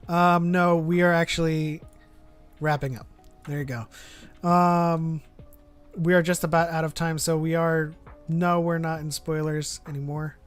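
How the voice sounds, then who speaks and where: Podcast host, casual fan chat, mid-range pitch, faint music behind. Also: little pitch movement, unhurried, clean tone.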